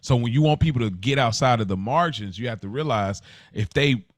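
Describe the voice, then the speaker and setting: Male co-host, reflective and conversational, long explanations, mid-pitched voice, very little room sound. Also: expressive, brisk, no room sound, clean studio sound. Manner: reflective, explains at length with "like" and "you know what I mean". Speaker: podcast co-host. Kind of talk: casual conversation.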